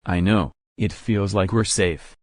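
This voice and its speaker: A man's voice: deep voice, fairly even intonation, measured pace, darkish tone, no echo, clean studio sound. A man playing an observant member of a monster-fighting team, speaking short plain lines in an amateur game drama. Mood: alert.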